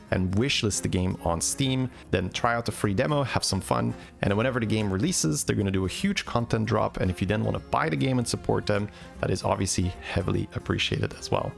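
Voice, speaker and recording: Gravelly man, casual commentary. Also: lowish voice. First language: Dutch. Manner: relaxed, chatty, answers chat questions. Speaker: game commentator.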